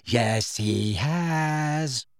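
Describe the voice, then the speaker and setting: A man voicing a solemn, dreamy, stubbornly credulous teenage girl with a drawn-out delivery in a storybook. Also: mid-range voice, no room sound, studio quality. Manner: solemn, drawn-out, wide-eyed conviction.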